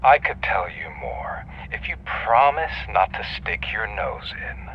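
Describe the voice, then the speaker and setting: An actor playing a sly, smugly menacing criminal prisoner in a video game, breathy and half-whispered, needling as he talks. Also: lowish voice, sing-song, lo-fi sound, slight background noise. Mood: insinuating, menacing. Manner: slow, insinuating, needling. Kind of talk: video game cutscene acting.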